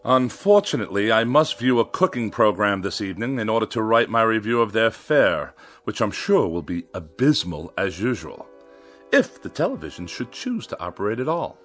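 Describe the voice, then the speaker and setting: Snobby cranky writer in a radio play, super wordy and sarcastic, pitch swooping around, bit of music behind. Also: male voice, sing-song, normal speed, a bit bright. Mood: contemptuous. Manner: pompous, wordy, sneering sarcasm. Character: haughty, irascible male writer who despises machines. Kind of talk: radio drama acting.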